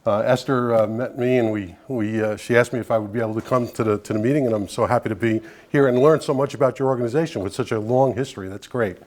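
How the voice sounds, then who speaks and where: An older man speaks to a meeting in an informal, conversational way. His is Sicilian, and his voice sits at a middle pitch as he talks earnestly in an anecdotal style. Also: fast, hardly any pauses, a little mellow. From Brooklyn, New York, USA. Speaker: guest speaker, Italian-American advocate. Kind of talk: informal public talk to a meeting.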